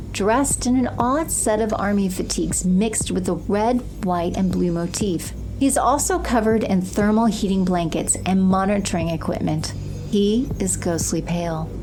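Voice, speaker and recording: A woman's voice: medium pitch, noisy background. A woman reading scripted narration for an audio drama in the present tense. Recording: music bed behind the voice.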